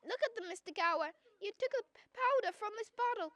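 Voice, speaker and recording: A woman's voice: very high voice, animated, a little fast, fairly bright tone, no echo, muffled recording. A young female performer playing an earnest, pleading young errand boy in a radio drama.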